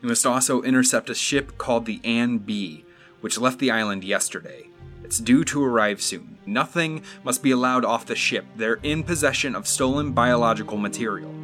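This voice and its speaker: An actor playing a shaken paleontologist who gives urgent, steady orders. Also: male voice, mid-range voice, moderate pace, soft background music, close to an everyday voice. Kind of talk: fan audio drama acting.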